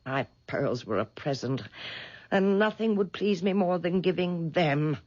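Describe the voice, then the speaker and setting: Husky, swooping, fluttery old lady. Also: fairly low pitch, expressive, a little slow, a little mellow. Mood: fond, excited. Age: older. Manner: hesitant, fluttery, coaxing. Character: frail, superstitious, fond old lady with secretive streak. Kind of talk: radio drama acting. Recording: dull vintage radio recording.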